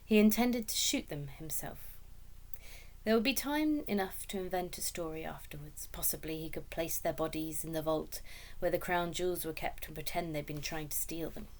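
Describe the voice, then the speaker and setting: A woman reading a children's story aloud as expressive scripted narration. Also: low-pitched, moderate pace. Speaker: story reader.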